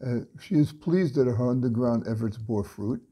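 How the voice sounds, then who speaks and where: An older man reading a story aloud from his home study into a webcam, unhurried, with frequent pauses and restarts. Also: mid-range voice, dark tone, husky. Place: home study lined with bookshelves.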